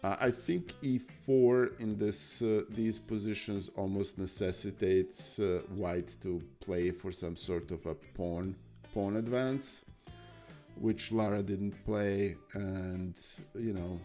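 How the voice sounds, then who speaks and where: This is a man with a deep, gravelly, raspy voice who talks through chess games on a live stream. He speaks slowly and haltingly, with plenty of "uh"s and restarts, while faint music plays behind him. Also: darkish tone. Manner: halting, many fillers and restarts. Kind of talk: casual live-stream commentary.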